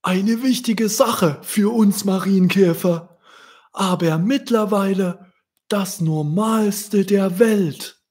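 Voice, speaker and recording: A man voicing an elderly ladybird grandparent in a children's story, reassuring and deliberate as they explain things. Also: high voice, darkish tone, slightly rough, voice pushed up, heavily acted.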